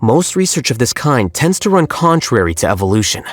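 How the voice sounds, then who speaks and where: An actor playing an aloof, blunt young scholar who speaks in a dry, matter-of-fact way in a game cutscene. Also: male voice, mid-range voice, very animated, fairly quick, no echo, soft background music. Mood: cool.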